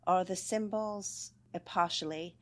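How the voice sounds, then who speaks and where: A woman's voice: on the low side, normal speed. A woman hosting a podcast in a casual interview chat, encouraging and informal with her guest. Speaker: podcast host.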